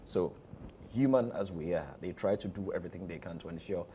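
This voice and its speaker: A man's voice: sits low, a little fast, warm tone, slight background noise. A Ghanaian-accented man being interviewed as a theatre director, talking conversationally and leaning on repeated phrases for emphasis.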